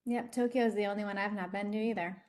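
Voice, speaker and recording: A middle-aged woman co-hosting a live webinar on a video call, easygoing and quick to laugh. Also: medium pitch, fairly even intonation, a little mellow. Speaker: webinar co-host.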